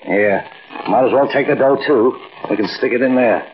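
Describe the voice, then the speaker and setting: An actor with an American accent playing a brash, impatient gangster in a 1940s radio drama, bossy, slangy and dismissive. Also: male voice, mid-range voice, moderate pace, close to an everyday voice. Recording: dull, hissy old radio broadcast.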